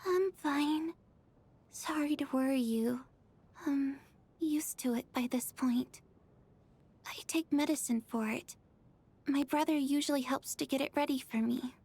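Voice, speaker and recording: A woman voicing a sweet, frail, dreamy young sister in a video game, with a gentle, wistful manner. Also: sits high, a little slow, pauses often. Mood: reassuring. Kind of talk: video game character dialogue.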